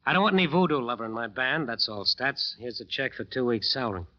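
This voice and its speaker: A man's voice: medium pitch, expressive, fast talker, natural voice. An actor playing a cocky, hard-boiled American jazz bandleader who narrates his eerie story in a 1940s radio drama. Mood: dismissive, cocky. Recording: muffled old radio recording with music.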